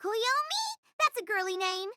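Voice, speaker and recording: A woman's voice: very high voice, lively intonation, unhurried, sharp tone, no echo, studio quality, full character voice. A woman voicing a prim, prickly elementary school girl who speaks in formal, haughty, blunt sentences in an acted character performance. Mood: haughty, wary. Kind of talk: acted dialogue, animated-character delivery.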